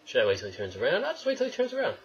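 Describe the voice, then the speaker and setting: A man's voice: on the high side, huge pitch swings, very fast, highs cut off. A young man giving casual, wry gameplay commentary, swearing and talking to the game's characters. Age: young adult. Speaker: gamer, let's play host. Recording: noisy, game sound behind voice.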